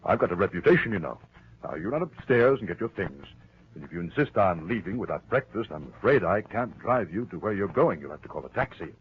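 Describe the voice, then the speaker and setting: A muffled, thin vintage radio recording of a low, husky American man, a suspected killer in a crime drama. He is patronizing and falsely caring, halting yet insistent, with a pitch that swings widely. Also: big pitch swings, a little hiss or hum. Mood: patronizing, smooth. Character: smooth, evasive husband, suspected wife killer, falsely solicitous. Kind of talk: 1940s radio mystery acting.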